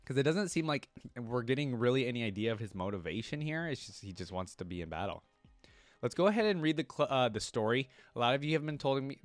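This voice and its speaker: A man's voice: lowish voice, dry recording. A man giving casual reaction commentary as a video host, thinking aloud in an informal way.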